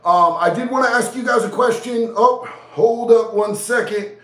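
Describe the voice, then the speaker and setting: A man's voice: extremely high pitch, moderate pace, fairly bright tone, reverberant, distant mic, low-quality recording. Congested man chatting on a livestream. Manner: laid-back slangy chatter, reading out chat. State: congested head cold, nasal. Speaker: livestream host. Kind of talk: casual livestream Q&A. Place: small indoor studio with neon lights.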